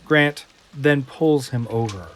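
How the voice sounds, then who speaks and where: A man's voice: mid-range voice, takes it slowly, fairly bright tone. A man reading a screenplay as dramatic scripted narration. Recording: music behind the voice. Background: prominent music.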